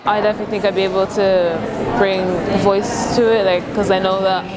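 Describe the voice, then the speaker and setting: A teenage Irish schoolgirl answers interview questions hesitantly. Her tone stays level, with little rise and fall. A loud crowd murmurs behind her. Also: medium pitch, flat delivery, moderate pace, rough recording. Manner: hesitant, lots of ums. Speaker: secondary school student. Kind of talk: interview soundbite.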